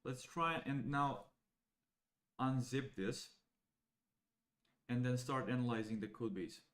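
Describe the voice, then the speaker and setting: Young Serbian-born man, native Serbian speaker, walking viewers through code while thinking aloud in halting steps, mid-range voice. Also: medium pitch, a bit bright. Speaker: AI educator. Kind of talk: live coding walkthrough.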